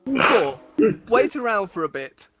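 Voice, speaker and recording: A woman's voice: medium pitch, big pitch swings, takes it slowly, a bit bright, faint music behind. A woman giving a playful, mock-solemn dramatic reading of her own comic stories, like a storyteller. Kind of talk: comedic dramatic reading of scripted tales.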